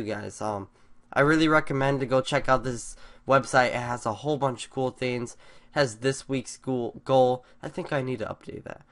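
A congested teenage boy talks to his channel's viewers in a loose, rambling way, full of fillers and restarts. His voice sits at an ordinary middle pitch, and he sounds eager. It is recorded on a desktop mic at home. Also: fairly bright tone. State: congested from a cold. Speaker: YouTuber. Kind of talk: casual vlog talk to viewers. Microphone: desktop USB mic over screen recording.

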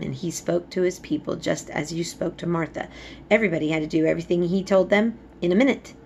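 A woman voicing an earnest, outspoken young girl in a storybook, explaining things in an animated way. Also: fairly low pitch, creaky, a little hiss or hum. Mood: thoughtful.

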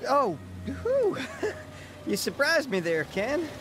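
An actor playing a guilty, nervous young bootlegger's helper, stammering and pleading in a radio drama. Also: male voice, very high-pitched, wildly swooping pitch, slow pace, music in the background, exaggerated voice, low-quality recording.

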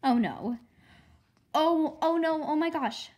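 A woman voicing an excitable, imaginative little girl in a storybook, with dramatic, emphatic delivery. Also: sits high, slow pace, lo-fi sound, pitched above the performer's own voice. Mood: alarmed, excited.

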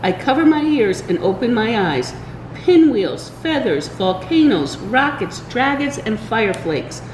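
An older woman reading a children's storybook aloud, expressive and deliberate, with small stumbles. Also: on the high side. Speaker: library story time reader. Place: indoors in a large library room. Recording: roomy echo, faint background room noise.

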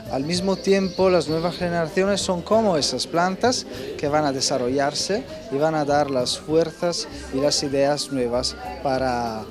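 Young man, Italian accent, a bit high, halting with fillers, clear music behind him in an indoor hall. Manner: hesitant, many fillers and restarts. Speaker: pavilion representative. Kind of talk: on-camera interview. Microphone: handheld mic.

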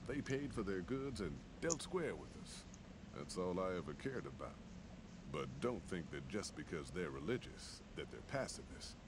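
Gruff caravan boss in a game, real deep voice, lively delivery, noise under it. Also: male voice, normal speed. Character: gruff middle-aged male caravan master. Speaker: video game character.

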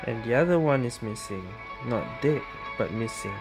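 A woman's voice: extremely low pitch, expressive, moderate pace, rough recording. A woman streamer giving casual let's-play commentary, thinking aloud in short musing remarks. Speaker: game streamer. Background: game music.

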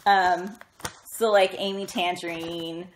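Chatty, excitable woman in a roomy bedroom. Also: fairly low pitch, slow. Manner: self-deprecating, giggly, excitable, animated. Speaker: craft vlogger. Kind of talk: casual chatty haul vlog. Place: indoors in a bedroom, sitting up in bed. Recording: roomy echo, faint noise, handling clicks.

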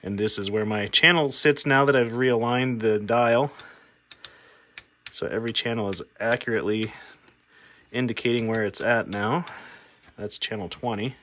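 A man's voice: medium pitch, moderate pace, long pauses, fairly bright tone, slight background noise. A man from the United States giving a casual, plainspoken, matter-of-fact demonstration as a radio repair hobbyist. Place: indoor workshop at a workbench.

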